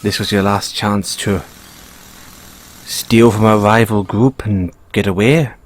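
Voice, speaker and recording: A man with an Irish accent voicing a sly, playfully menacing bunny mechanic who is a mafia boss, in an intimate, teasing, unhurried audio roleplay style. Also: lowish voice, steady tone. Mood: probing, menacing. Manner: teasing, unhurried, coaxing with tag questions.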